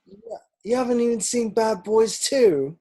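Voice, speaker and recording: A man playing an eager, boyish village policeman in a script table read, keen and questioning, with a husky voice. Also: sits high, a little slow, mellow. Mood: eager, curious.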